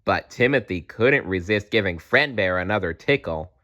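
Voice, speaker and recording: A man narrating a children's storybook aloud in an expressive read-aloud style. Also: low voice, normal speed, highs cut off. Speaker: storybook reader.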